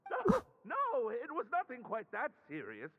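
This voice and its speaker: A shy young prisoner in a video game who stammers and apologizes, polite to a fault. His voice is very high and sways up and down, and the game audio sounds a little dull. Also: huge pitch swings, unhurried, noisy background, heavily acted. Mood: timid. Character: timid, embarrassed young male prisoner.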